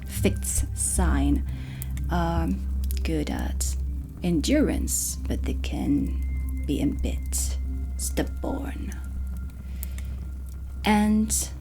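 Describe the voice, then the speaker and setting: A woman playing a stern, impatient dark witch teacher in a soft-spoken ASMR roleplay, with a deliberate, commanding manner over ambient music. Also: low voice, wildly swooping pitch, gravelly.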